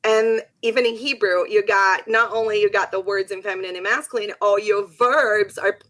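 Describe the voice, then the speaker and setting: A woman's voice: mid-range voice, steady tone, normal speed, a bit bright. A woman whose first language is Portuguese, a podcast guest and Hebrew teacher, telling stories animatedly in casual conversation.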